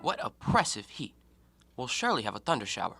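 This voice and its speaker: A man playing a talkative, educated and self-righteous gentleman antiquarian in an audio drama, given to theatrical, argumentative speeches. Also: mid-range voice, wildly swooping pitch, a little fast, creaky, faint music behind, heavily acted. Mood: restless, probing. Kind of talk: stage-play acting in an audio drama.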